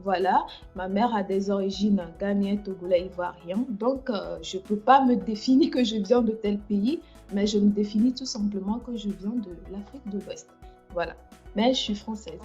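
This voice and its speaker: Woman chatting on a livestream, mellow lo-fi. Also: mid-range voice, moderate pace, faint music behind. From France. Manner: hesitant, many fillers and restarts. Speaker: livestream host. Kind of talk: casual livestream chat.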